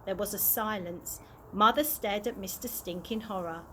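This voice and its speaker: A woman's voice: medium pitch, moderate pace, pushed and bright, slight background noise. A woman reading a children's story aloud expressively, occasionally stumbling over words and correcting herself. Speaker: early years practitioner reading aloud.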